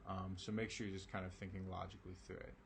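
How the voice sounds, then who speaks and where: A man teaching a recorded algebra lesson, his voice raspy and tired from a late hour, talking casually with lots of ums and okays. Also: low voice, flat delivery, fast talker, a little hiss or hum. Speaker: math teacher.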